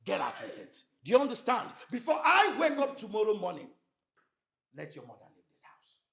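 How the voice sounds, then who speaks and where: A man's voice: sits high, huge pitch swings, fairly quick, creaky, fairly bright tone, full character voice. A Nigerian man playing an angry, domineering husband in a film drama, with a commanding, emphatic delivery. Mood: stern, contemptuous. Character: domineering, angry husband ordering his mother-in-law out.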